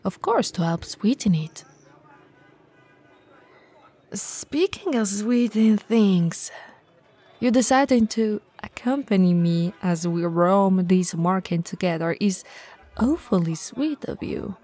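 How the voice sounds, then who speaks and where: Woman acting a slow, breathy, teasing tall shopkeeper. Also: medium pitch. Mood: casual, sweet. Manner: relaxed, soft, teasing, with breathy whispers. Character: very tall, friendly, teasing female bento shop owner. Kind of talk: ASMR roleplay acting.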